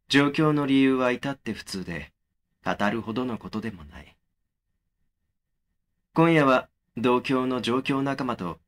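A man playing a young man who narrates his eerie memories in a measured, matter-of-fact way for a horror audio drama. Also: medium pitch, fairly bright tone, lightly acted.

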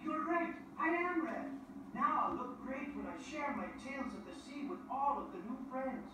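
Cheerful dockside engine, lively and chatty, voiced by a woman; distant echoey recording. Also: high voice, normal speed. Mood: friendly. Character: friendly hardworking male dock engine. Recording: echoey and distant, with background noise.

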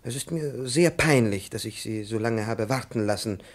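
An actor playing a timid, over-polite elderly professor in a 1950s radio play, halting and profusely courteous. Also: male voice, medium pitch, natural voice. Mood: apologetic, courteous. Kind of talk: 1950s German-language radio play acting. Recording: 1950s radio drama recording.

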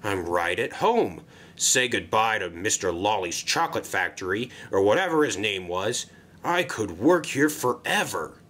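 Casting: male performer as sullen, grumbling steam engine for a railway storybook, lowish, blunt, disinterested. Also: very animated, a little slow, a bit bright, exaggerated voice. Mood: gruffly pleased. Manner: grumbling, grunting. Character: grumpy, gruff saddle tank engine, disinterested and blunt.

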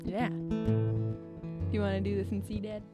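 A woman's voice: mid-range voice, completely flat delivery, moderate pace. A young adult woman giving brief, casual replies as a co-host between songs. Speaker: co-host and singer, daughter in a duo. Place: small dim curtained stage indoors. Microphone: microphone on a stand. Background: loud acoustic guitar music.

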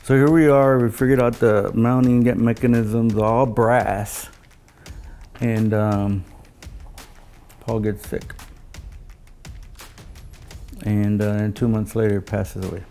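An older man telling stories in a casual, halting way, as an engineer looking back in an interview. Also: fairly low pitch, steady tone, mellow, soft background music. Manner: halting, anecdotal storytelling with quoted dialogue. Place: living room of a home, indoors.

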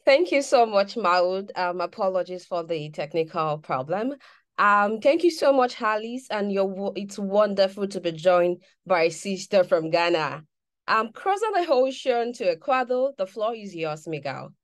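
A woman's voice: mid-range voice, lively intonation, unhurried. A woman moderating a conference panel, handing over with thanks.

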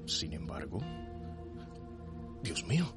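An actor playing an anguished man of conscience, thinking aloud with dramatic pauses in a radio soap opera. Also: extremely low pitch, wildly swooping pitch, full character voice. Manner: dramatic inner monologue with pauses. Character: anguished man of conscience forced to obey a cruel master. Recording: loud music behind the voice.

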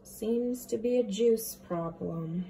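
A young woman teacher reading a storybook aloud to children, with playful, expressive comments. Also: mid-range voice, takes it slowly, slight background noise, poor audio. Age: young adult.

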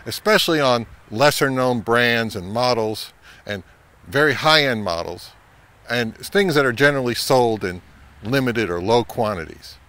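A middle-aged man hosting an advice vlog, speaking to camera in an emphatic way with deliberate pauses. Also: mid-range voice, expressive, a bit bright. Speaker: host of an audio gear channel. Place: home listening room, later an open grassy field.

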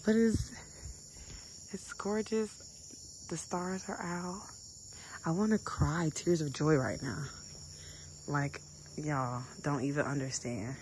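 A woman's voice: sits low, darkish tone, slight background noise, poor audio. A woman in her twenties chatting casually to viewers as a travel vlogger, filming herself on a phone in a villa. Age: 20s. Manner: chatty, addresses viewers as y'all. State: just woken, raspy voice. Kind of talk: casual vlog walkthrough. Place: resort villa bedroom, indoors. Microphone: phone held at arm's length.

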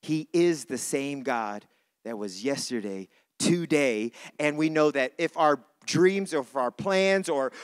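A man preaching a church sermon in an informal, conversational way, repeating phrases for emphasis. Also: fairly high pitch. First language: not English (says English is not his first language).

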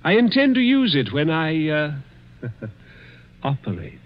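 An actor playing a sly, menacing, miserly rich man in a vintage radio thriller, deliberate and taunting, with hesitant pauses. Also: on the high side, wildly swooping pitch, a little hiss or hum, exaggerated voice. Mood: gloating. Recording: muffled old radio drama recording.

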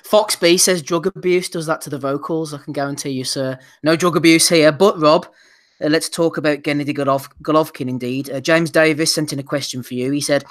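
A man hosting a relaxed boxing chat podcast, his voice sitting a little higher than most men's. He moves things along briskly and reads out listener questions, and he sounds slightly hoarse. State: losing his voice. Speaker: podcast host.

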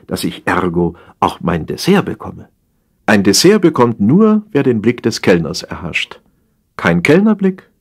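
Guy with a lowish voice reading a funny satirical story, expressive but measured. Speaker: audiobook narrator. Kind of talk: scripted narration of humorous satire.

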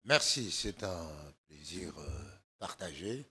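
Older man, deep hoarse slow voice. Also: expressive, pushed and bright. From Democratic Republic of the Congo. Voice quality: hoarse, gravelly voice. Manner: measured, halting with frequent fillers. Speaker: political guest. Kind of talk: studio interview. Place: TV studio. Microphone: desk microphone.

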